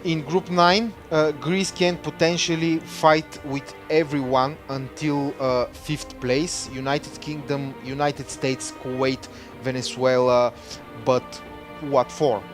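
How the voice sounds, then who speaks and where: Host, male, slow and full of "uh"s, light music underneath. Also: mid-range voice, level tone. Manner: hesitant, many "uh"s, slow. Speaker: livestream host. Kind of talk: casual live-stream commentary.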